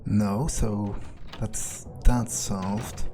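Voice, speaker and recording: A man plays a callous vampire vigilante thinking aloud through a video game. His voice is lowish and mellow, and he talks slowly and offhandedly to himself. Game music plays behind him. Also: level tone. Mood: musing. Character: callous, laid-back male vampire vigilante. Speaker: let's player role-playing in character. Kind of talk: in-character gameplay commentary, thinking aloud.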